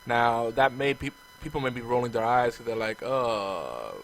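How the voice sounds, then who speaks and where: A man co-hosting a casual podcast, chatty and relaxed, his voice going hoarse as he gets sick. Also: mid-range voice, moderate pace, slight background noise, poor audio. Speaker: podcast co-host. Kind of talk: casual chat.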